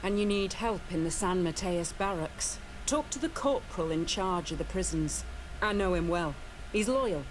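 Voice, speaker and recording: An actress voicing a principled, weary woman commander of a mercenary guard in a video game, speaking earnestly in measured, formal phrases. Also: on the low side, slight background noise, lightly acted, low-quality recording. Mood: businesslike.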